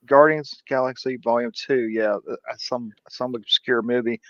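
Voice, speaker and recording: A man's voice: medium pitch, steady tone, normal speed, fairly bright tone, no room sound. A man hosting a casual livestream chat, joking around and teasing his guests. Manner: joking, laughing, playful teasing. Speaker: host. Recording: dry, dull lo-fi livestream audio.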